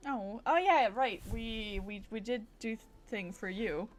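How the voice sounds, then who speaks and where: Higher-voiced woman chatting on a game stream. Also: a little slow, soft background music. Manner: playful, chatty, silly repeated words and asides. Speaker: livestreamer playing a game. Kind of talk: casual gameplay stream chat.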